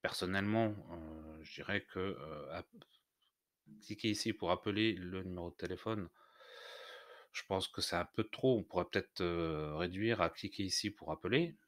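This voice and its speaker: A man narrating an unscripted software tutorial, explaining step by step in a hesitant way. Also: deep voice, moderate pace, dry recording, clean studio sound. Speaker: tutorial host.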